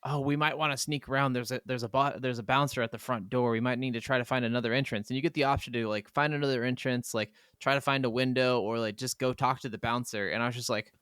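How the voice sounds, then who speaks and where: A man's voice: medium pitch, fairly even intonation, quick pace, hardly any pauses, fairly bright tone, smooth, no echo, studio quality. A man co-hosting a gaming podcast, chatting informally and telling anecdotes with casual swearing. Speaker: podcast co-host.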